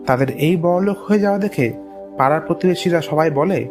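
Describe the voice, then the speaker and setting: A man's voice: a little high, a little fast. A man narrating a scripted moral tale for a cartoon. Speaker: story narrator. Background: music.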